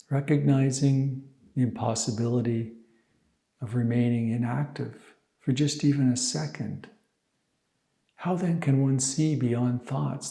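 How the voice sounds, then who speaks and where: A man guides a meditation in a calm, very unhurried way, leaving space between phrases. His mid-pitched voice is soft-edged and mellow, with a clear creaky fry. The sound is roomy and echoing. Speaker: meditation teacher. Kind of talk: guided meditation, slow and measured.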